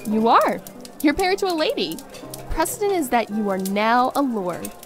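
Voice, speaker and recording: A woman playing a poised young princess with dry wit in a visual novel, brisk and authoritative. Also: a little high, very animated, over music. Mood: commanding. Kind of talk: visual novel character acting.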